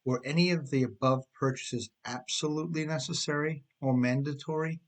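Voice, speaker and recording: A man's voice: on the high side, steady tone, moderate pace. A man reading his own book aloud as a scripted audiobook narration, conversational in manner, with emphatic stresses and rhetorical questions.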